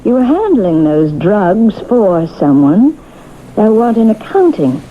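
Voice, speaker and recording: A woman's voice: mid-range voice, sing-song, slow. An actress playing a cunning, self-assured, theatrical woman accused of murder in an old-time radio drama, coaxing and taunting. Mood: confronting, taunting. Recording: tinny band-limited old radio broadcast, hissy.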